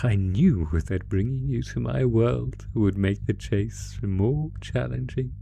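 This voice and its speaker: A man's voice: medium pitch, vocal fry, dark tone, soft background music. A man playing an ancient, predatory monster who theatrically taunts human prey in a horror audio roleplay. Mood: exhilarated. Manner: theatrical, savoring, taunting, drawn-out phrasing.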